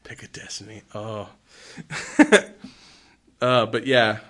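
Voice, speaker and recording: A man's voice: fairly low pitch, little pitch movement, slow pace, pushed and bright. A man co-hosting a horror film podcast in casual chat, joking and often laughing as he talks. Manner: jokey, laughs often while talking. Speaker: podcast co-host reviewing horror films.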